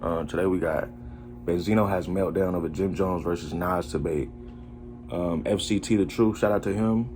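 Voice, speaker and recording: A man's voice: low voice, moderate pace, over music. A man in his twenties hosting a reaction video, talking in a laid-back, casual way. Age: 20s. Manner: laid-back, relaxed, talks to chat. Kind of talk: casual commentary.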